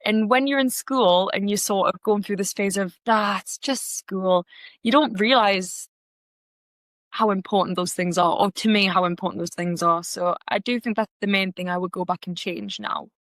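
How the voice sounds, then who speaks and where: A woman's voice: medium pitch, moderate pace, dry recording. A young woman with a Geordie accent from the North East of England, chatting as a podcast guest with lots of restarts and fillers. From Gateshead, North East England. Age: young adult. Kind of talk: casual interview chat.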